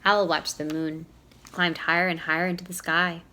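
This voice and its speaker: A woman reading a storybook aloud to young children, expressive and playful, in a teacherly way. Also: on the low side, moderate pace, a little hiss or hum. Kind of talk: read-aloud storybook narration with questions to young listeners.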